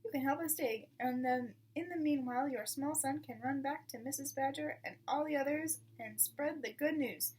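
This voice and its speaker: A woman's voice: high voice, normal speed, few pauses, a little mellow, close to an everyday voice. A woman voicing a confident, crafty father fox in a storybook, with a jaunty, commanding manner. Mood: sly, eager. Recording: distant mic with clear background noise.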